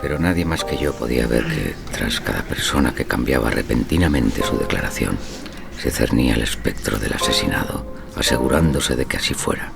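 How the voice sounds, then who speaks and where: Very deep, gravelly haunted juror narrator. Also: male voice, expressive, a little fast, heavily acted. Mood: ominous, tense. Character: haunted, impressionable male juror recounting a ghost story. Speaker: narrator and lead actor. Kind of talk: first-person audio drama narration. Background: dramatic soundtrack music.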